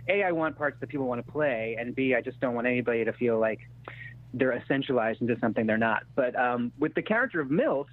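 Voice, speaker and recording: A man's voice: medium pitch, lively intonation, fast talker. A man answering interview questions as an actor-writer in a candid, conversational way, often backtracking as he goes. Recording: thin phone-like radio sound.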